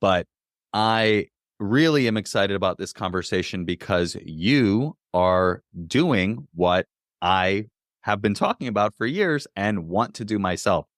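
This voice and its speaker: A man's voice: fairly low pitch, expressive, a little slow, fairly bright tone, dry recording, studio quality. A man hosting a podcast, reading an earnest scripted intro and then interviewing a guest. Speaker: podcast host.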